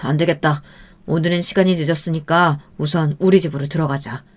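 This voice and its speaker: A woman's voice: sits low, fairly even intonation, brisk. A woman voicing a kind, protective middle-aged father who talks earnestly. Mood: concerned.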